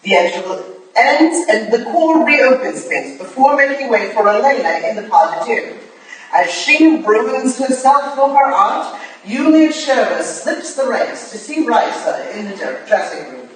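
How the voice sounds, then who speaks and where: A woman's voice: on the high side, sing-song, unhurried. A woman playing a commanding, analytical Victorian lady detective in a staged play reading, recorded in an echoey hall. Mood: reconstructing events. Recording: echoey hall from far off, faint noise.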